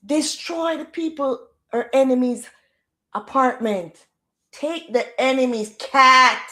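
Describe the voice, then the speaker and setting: Loud Jamaican woman chatting on a livestream. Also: sits high, takes it slowly. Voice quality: loud projecting voice. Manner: animated, rhetorical questions, slangy. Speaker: livestream host. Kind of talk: casual livestream chat.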